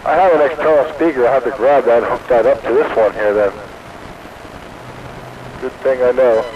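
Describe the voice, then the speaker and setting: A muffled CB radio signal with clear noise carries a man of medium pitch. He chats in a casual, everyday way over the two-way set. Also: fairly even intonation, moderate pace. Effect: two-way CB radio transmission. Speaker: CB radio operator.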